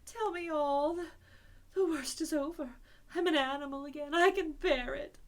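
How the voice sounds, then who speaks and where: A woman voicing a boastful, excitable male toad who gushes and stumbles over his words. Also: high-pitched, normal speed, voice pushed up. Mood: tearful. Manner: gushing, breathless.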